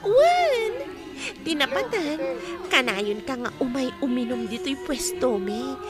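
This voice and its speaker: A woman's voice: high voice, very animated, takes it slowly, over loud music, exaggerated voice. A woman playing a coy young bar server in a comedy radio drama, teasing a customer.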